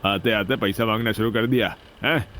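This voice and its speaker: A man's voice: fairly low pitch, steady tone, moderate pace, a bit bright, a little hiss or hum, lightly acted. Man acting a bossy, cruel rich mill owner. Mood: scornful, angry. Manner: bossy, taunting. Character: cruel, pompous rich male mill owner scolding workers. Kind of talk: Hindi moral-story cartoon dubbing.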